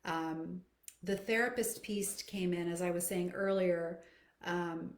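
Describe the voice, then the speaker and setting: A roomy, echoing recording of a middle-aged woman with a slightly low voice. She talks conversationally, like a coach hosting a live question session. Her delivery is reflective and explanatory, aimed straight at her listeners. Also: steady tone, takes it slowly. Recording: echoey, roomy sound.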